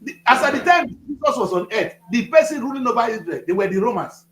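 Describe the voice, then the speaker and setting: A man's voice: extremely high pitch, expressive, fast talker, a bit bright, roomy sound, a little hiss or hum. Fiery Nigerian man in his fifties. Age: 50s. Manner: preachy, emphatic, repeats phrases for effect. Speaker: studio guest. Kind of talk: passionate political talk.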